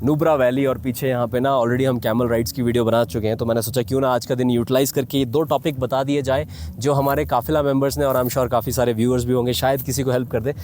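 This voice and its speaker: A man's voice: mid-range voice, flat delivery, quick pace, a little mellow. An Indian man gives a casual, explanatory review to viewers, mixing English phrases into his speech, in the style of a motovlogger. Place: outdoors in a mountain valley. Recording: clearly noisy outdoor ambience.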